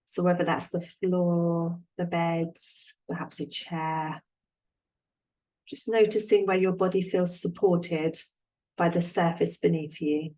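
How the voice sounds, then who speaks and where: Woman with a lowish voice guiding relaxation. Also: low-quality recording. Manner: calm, soothing, unhurried with long pauses. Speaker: relaxation guide, yoga nidra instructor. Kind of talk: guided relaxation meditation.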